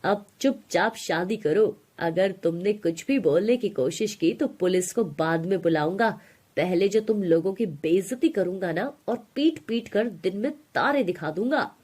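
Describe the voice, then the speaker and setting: A woman voicing a rich, forceful protector in a moral story, commanding and threatening. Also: mid-range voice, animated, a little slow, slightly rough.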